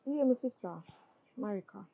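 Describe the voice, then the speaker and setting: A woman voicing a sulky, jealous daughter with a Jamaican accent, grumbling low. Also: moderate pace, creaky, dry recording. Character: sulky daughter jealous of her favored sister. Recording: dry tinny phone-like sound.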